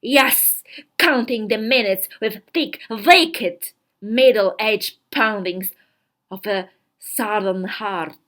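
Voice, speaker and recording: A woman voicing a haughty, melodramatic fourteen-year-old girl from a story diary, grandiose and theatrical with drawn-out emphasis. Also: mid-range voice, fairly bright tone. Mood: scornful, intense.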